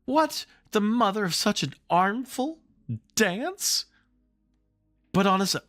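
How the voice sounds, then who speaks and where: A man's voice: high voice, lively intonation, slow, fairly bright tone, no echo, studio quality, soft background music, voice pushed up, heavily acted. A man voicing a prim, fussy young motherly girl in a storybook, speaking in a tart, simpering way. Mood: scandalized.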